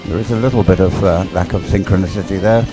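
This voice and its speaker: A man giving unscripted live race commentary, explaining as he goes in a hesitant, halting way and repeating words. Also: low-pitched, steady tone, music in the background. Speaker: sports commentator.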